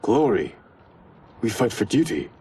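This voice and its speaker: An actor playing a dutiful, principled younger brother in a ninja clan in a video game, speaking formally, with earnest restraint. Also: male voice, fairly low pitch, very animated, unhurried, mellow, slight background noise. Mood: indignant. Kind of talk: cinematic video game acting.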